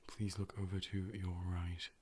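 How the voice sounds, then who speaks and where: A man playing a calm, polite robot optician in an ASMR role play, speaking softly and at times whispering measured, formal clinical instructions. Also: sits low, noisy background. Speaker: ASMR performer playing an eye doctor. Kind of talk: soft-spoken ASMR medical role play, partly whispered. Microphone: close binaural microphone.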